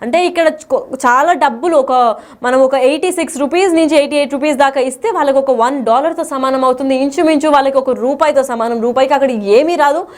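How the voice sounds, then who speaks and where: A woman's voice: high-pitched, fast. A woman explaining the news as a presenter, in a wordy, partly unscripted way with English terms mixed in.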